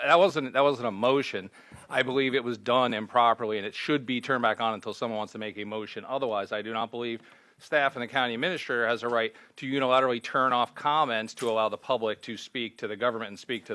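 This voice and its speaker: A man's voice: medium pitch, bright, forceful tone. A man speaking as a board member in a government meeting, insistent and argumentative. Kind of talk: formal meeting remarks, arguing a point.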